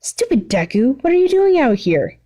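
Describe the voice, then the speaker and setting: Story reading: brash teenage boy, short temper, cocky, gruff manner acted by a woman. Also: high-pitched, sing-song, normal speed, mellow, pitched above the performer's own voice. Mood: irritated. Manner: boastful, gruff. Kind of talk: fan fiction read aloud.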